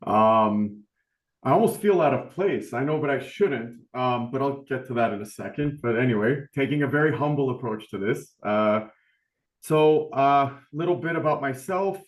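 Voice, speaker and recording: A man's voice: medium pitch, expressive, normal speed. Middle-aged male panelist, humble and chatty, roomy echo on the call. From United States. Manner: humble, rambling, many fillers. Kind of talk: webinar panel talk. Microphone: video call.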